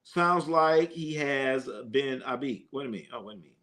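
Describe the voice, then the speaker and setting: A man's voice: mid-range voice, moderate pace, fairly bright tone. Man, live stream host, casual slangy banter, jokey, filler-heavy. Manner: loose, joking, slangy banter with frequent fillers. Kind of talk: casual live stream chat reading comments.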